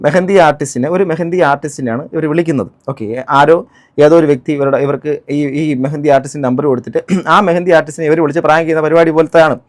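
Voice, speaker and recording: A man's voice: on the high side, very fast. A man giving spoken commentary on a clip, indignant and full of rhetorical questions. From Kerala, India. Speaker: reaction vlogger.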